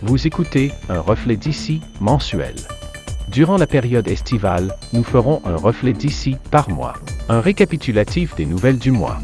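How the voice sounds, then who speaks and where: A man opens a news program with a lively, animated announcer read, his pitch swinging freely. A clear music bed runs under his voice throughout. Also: normal speed. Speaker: show host. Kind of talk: scripted show introduction.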